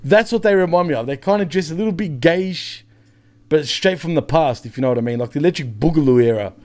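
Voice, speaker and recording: A man's voice: fairly high pitch, fast, darkish tone. An Australian man bantering casually on a wrestling review show, jokey, sweary and often laughing.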